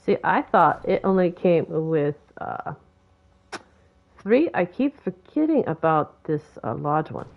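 A woman's voice: on the low side, big pitch swings, slow pace, vocal fry, narrow, tinny audio. A woman with a Boston accent, giving rambling, chatty commentary for a game tutorial. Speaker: game video creator. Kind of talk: casual gameplay tutorial commentary.

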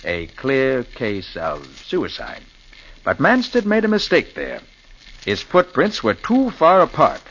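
A man's voice: medium pitch, wildly swooping pitch, fairly bright tone, full character voice. An actor playing a confident, clever male detective explaining a case in a 1940s radio drama. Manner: brisk, explanatory. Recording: dull old radio recording with faint hiss.

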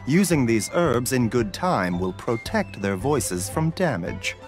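Courteous, expressive gentleman doctor. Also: mid-range voice. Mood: calm, courteous. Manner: polite, measured, formal phrasing. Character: gentle, courteous, soft-spoken male doctor of herbal medicine. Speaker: video game character. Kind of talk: scripted game dialogue. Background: music.

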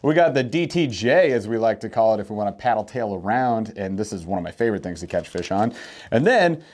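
A man's voice: lowish voice, lively intonation, fast talker, a little mellow. A man in his thirties co-hosting a fishing channel, presenting baits in an upbeat, segment-steering way, on a lapel mic in an indoor studio. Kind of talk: casual gear-review chat.

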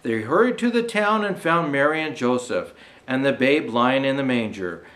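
Older man reading aloud, lively pitch swings. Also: normal speed. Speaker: storyteller dressed as Santa Claus. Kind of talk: reading a children's storybook aloud.

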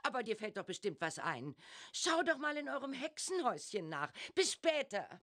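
A woman's voice: fairly high pitch, very animated, normal speed, a bit bright. A woman playing an encouraging helper in a children's witch adventure game.

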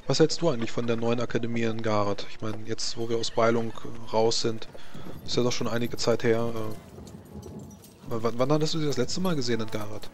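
A man improvising in-character fantasy roleplay talk, halting as he searches for words. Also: mid-range voice, fairly even intonation, slight background noise.

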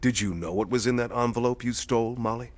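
An actor playing a quiet, authoritative police detective in a pulp thriller audio drama, explaining things evenly and methodically. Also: male voice, mid-range voice, fast talker, natural voice. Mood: grave, explaining.

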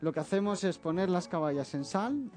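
Chef with a slight Catalan accent. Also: male voice, high voice, expressive, fairly quick, a little mellow. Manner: explains step by step, tags phrases with "eh?". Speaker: chef guest. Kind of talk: cooking demonstration. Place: TV studio kitchen. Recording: faint background music.

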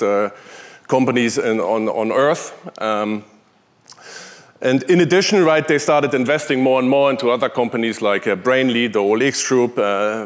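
A man in his forties with a German accent, giving a conference keynote on a large hall stage through a headset mic, chatty with many fillers and restarts. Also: medium pitch. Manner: conversational, with frequent fillers and restarts. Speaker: conference speaker, tech executive.

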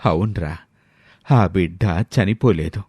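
Man acting a slow, low-voiced grandfather. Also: warm tone, slightly rough, no room sound, heavily acted. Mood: confiding. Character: elated scheming grandfather-to-be, older man. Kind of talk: dramatised moral story.

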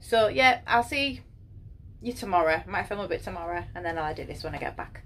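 A woman's voice: on the low side, lively intonation, fairly quick, a bit bright. A woman in her thirties vlogging casually from a small cabin, talking into a phone at arm's length, rambling with fillers and little laughs. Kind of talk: casual travel vlog. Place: small ship cabin, indoors.

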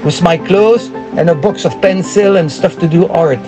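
High-voiced older Belgian man telling stories. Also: normal speed, poor audio. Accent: French-speaking Belgian. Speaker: interview guest, performance painter. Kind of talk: casual storytelling interview. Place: small living area inside a converted bus. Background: music.

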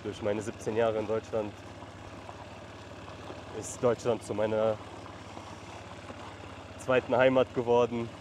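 Man vlogging outdoors on a farm, low voice. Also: level tone, slow, pauses often. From Lebanon. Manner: calls out to his animals between explanations. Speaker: vlogger and shepherd. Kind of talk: casual vlog narration while herding. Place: outdoors on a rural mountain farm. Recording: faint outdoor noise.

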